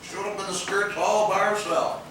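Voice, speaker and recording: An actor playing a brash, joking young husband and buddy in a stage play, slangy and loose. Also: male voice, sits high, level tone, normal speed, close to an everyday voice. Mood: boastful, admiring. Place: theatre stage, videotaped live from a distance. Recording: echoey noisy theatre hall, distant camera mic.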